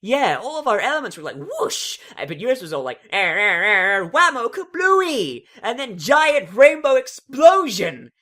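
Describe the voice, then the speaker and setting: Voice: mid-range voice, sing-song, unhurried, few pauses, bright, forceful tone, voice pushed up, full character voice. A reader voicing a giddy, hyperactive young female cartoon pony who talks in bubbly bursts and sound effects. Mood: excited.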